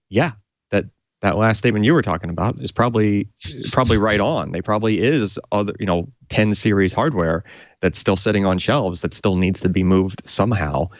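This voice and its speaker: Lowish-voiced man, slightly muffled podcast audio. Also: no room sound. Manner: rambling, hedging, conversational. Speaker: podcast co-host. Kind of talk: casual tech commentary.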